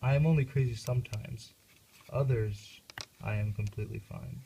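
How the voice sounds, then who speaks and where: A man's voice: mid-range voice, steady tone, moderate pace, creaky, background noise, close to an everyday voice. A man playing a grieving, bitter young prince in an amateur puppet show. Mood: composed.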